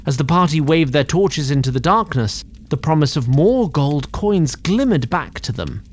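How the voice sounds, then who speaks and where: A man giving scripted narration for a fantasy audio drama in a measured, theatrical storytelling manner. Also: on the high side, lively intonation. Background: music.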